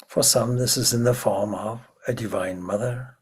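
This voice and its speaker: A man leading a guided meditation as a spiritual teacher, in a hushed, measured manner with long pauses and a breathy, husky voice. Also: mid-range voice.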